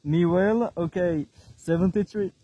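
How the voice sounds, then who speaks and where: A man from France with a French accent, making radio contacts in a halting way and repeating his words. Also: sits high, steady tone, noisy background. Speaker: amateur CB radio operator.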